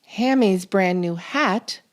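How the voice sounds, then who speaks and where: A woman reading a children's picture book aloud as a storytime host, expressive and deliberate, drawing out key words. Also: mid-range voice. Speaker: storytime reader and channel host.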